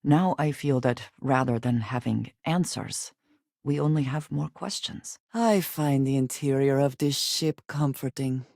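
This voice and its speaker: A guarded, formal space companion, dry sound. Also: female voice, very deep voice, vocal fry, darkish tone, no echo, exaggerated voice. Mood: calm, thoughtful. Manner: formal, measured speech without contractions. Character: guarded, solemn woman companion in a space adventure. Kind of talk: scripted video game voice acting.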